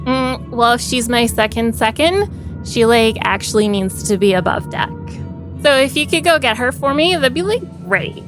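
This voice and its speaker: A woman's voice: fairly high pitch, lively intonation, normal speed, music in the background, voice pushed up, close to an everyday voice. A woman playing a flippant, casually sarcastic axe-wielding warrior in a fantasy tabletop game. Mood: dry, insistent.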